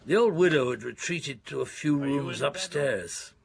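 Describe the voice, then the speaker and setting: An actor playing a weary, dogged middle-aged police detective who narrates his case in a radio drama, with a gravelly voice. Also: medium pitch, lively intonation, unhurried. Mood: reflective, brooding. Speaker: narrator and lead character. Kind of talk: radio drama acting, first-person narration.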